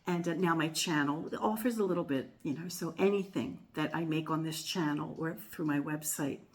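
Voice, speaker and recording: Rambling older woman with a lowish voice. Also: moderate pace, a little mellow. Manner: rambling, many fillers and self-corrections. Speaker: tarot reader and channel host. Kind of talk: casual chatty monologue to viewers.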